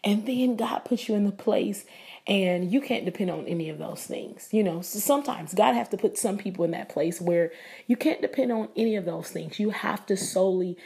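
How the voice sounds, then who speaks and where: A woman with a raspy voice hosting a casual devotional podcast, talking earnestly and prayerfully to her listeners. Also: medium pitch, normal speed, a little mellow. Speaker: podcast host. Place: living abroad, indoors.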